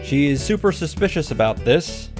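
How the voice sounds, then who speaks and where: A man's voice: a little high, expressive, normal speed, darkish tone. A man hosting a visual novel reaction summary, narrating casually and comedically with sarcastic, sweary commentary. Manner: sarcastic, profane. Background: music.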